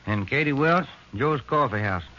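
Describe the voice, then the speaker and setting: An actor playing an easygoing police detective partner who talks in a folksy, chatty way, in a 1940s radio drama. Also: male voice, medium pitch, expressive, normal speed, sharp tone, a little hiss or hum. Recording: muffled old radio broadcast with music.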